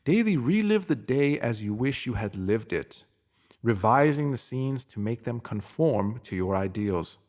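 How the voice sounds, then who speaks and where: A man's voice: mid-range voice, expressive, a little slow, darkish tone. A man narrating a motivational spiritual essay aloud in an earnest, instructive manner.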